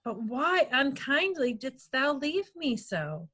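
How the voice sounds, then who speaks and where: Bewildered young sweetheart woman reader, Shakespeare table read: high-pitched, crisp-edged bright tone, unhurried verse delivery. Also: big pitch swings, exaggerated voice. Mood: searching, puzzled.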